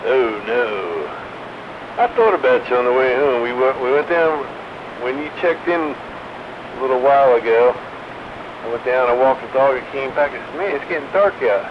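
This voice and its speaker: A man's voice: mid-range voice, expressive, moderate pace. A man in casual, colloquial CB chat, heard through a noisy, muffled radio transmission. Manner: folksy, colloquial. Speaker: radio operator. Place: porch.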